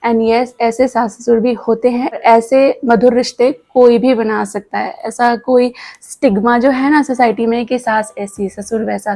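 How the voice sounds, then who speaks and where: A woman's voice: a little high, darkish tone, no room sound. Indian woman, 30s, home vlogger, sore scratchy throat, earnest and candid, dry close sound. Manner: candid, earnest, mixes in English words. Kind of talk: casual vlog talk to viewers. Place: home kitchen.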